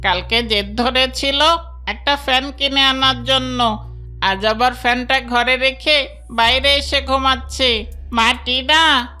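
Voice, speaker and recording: High, bright voice playing doting father fox. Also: steady tone, takes it slowly, faint music behind, full character voice. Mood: concerned. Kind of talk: Bengali fairy-tale cartoon voice acting.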